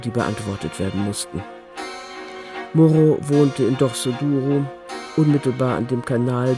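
Male radio drama narrator over music. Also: medium pitch.

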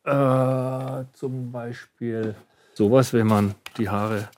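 A man's voice: medium pitch, fairly even intonation, extremely slow, darkish tone, a little hiss or hum. A man working as a sound effects artist explains his craft in conversation, searching for his words in a studio. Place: radio drama studio.

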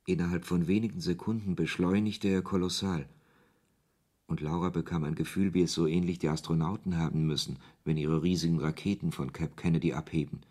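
A man's voice: low voice, fairly even intonation, fairly quick, darkish tone. A man reading scripted literary narration as the narrator of a radio play. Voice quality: husky.

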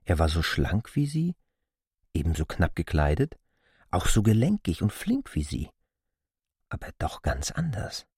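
A man with a husky voice giving a scripted narration of literary fiction. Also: low-pitched, wildly swooping pitch, a little slow, pauses often, darkish tone, no echo, clean studio sound.

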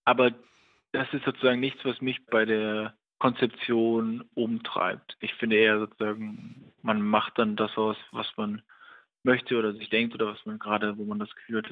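A man being interviewed as a writer, speaking reflectively and hesitantly, searching for his words. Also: lowish voice. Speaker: writer and radio play director interviewed. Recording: muffled phone-like remote line.

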